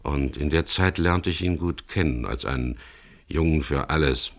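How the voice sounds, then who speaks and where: An actor playing a wry, guarded boat skipper in a 1970s German radio crime drama, speaking in a measured, dry way with hesitant pauses. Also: male voice, rumbling low. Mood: reflective, wry.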